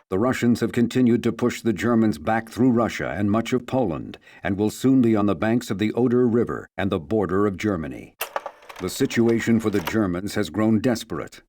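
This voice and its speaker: A man voicing a sober, measured narrator of World War II history in a video game. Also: lowish voice, darkish tone, slight background noise, close to an everyday voice. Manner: measured, grave. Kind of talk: scripted documentary-style narration. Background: music.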